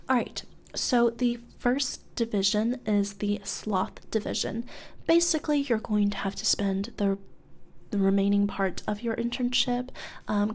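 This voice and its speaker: A woman playing a blithe corporate recruiter for hell in a soft-spoken ASMR roleplay, hesitant and conversational, often slipping into whispers, close on the mic. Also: mid-range voice, close to an everyday voice. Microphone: close studio condenser microphone.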